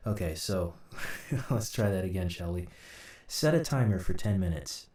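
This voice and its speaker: A deep-voiced man walks through software in an informal tutorial. He thinks aloud in a halting way as he works. The sound is roomy and a little distant from the mic, with a soft, dark tone. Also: lively intonation. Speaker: host, audio drama sound designer. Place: indoor room at a computer. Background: keyboard typing and mouse clicks, faint noise.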